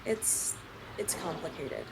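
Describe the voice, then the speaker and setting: A woman's voice: slow pace, background noise, lightly acted. An actress playing a private, hesitant young woman who dodges questions about her family. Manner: hesitant, evasive. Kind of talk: audio drama acting.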